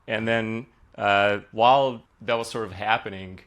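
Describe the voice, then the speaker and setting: Hungarian-born man, low voice, chatty. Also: steady tone, a little slow, a bit bright. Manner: conversational with frequent uh and like fillers. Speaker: software engineer guest. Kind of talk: podcast interview, relaxed storytelling.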